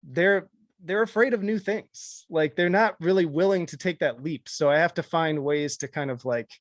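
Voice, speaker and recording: Man, fairly high voice, casual panel chat, dry recording. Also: normal speed, dry recording. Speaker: music video creator on a panel.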